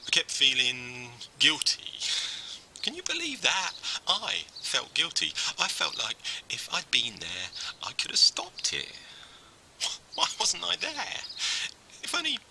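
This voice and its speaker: Birdsong and outdoor noise sit behind a slow-talking male friend in a first-person game, acted out by a man. He is grieving under a cheery front and faintly unsettling. His halting, theatrical delivery swings widely in pitch and drops into whispers. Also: huge pitch swings, creaky, full character voice. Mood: grieving, guilt-ridden. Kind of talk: first-person game dialogue, dramatic monologue.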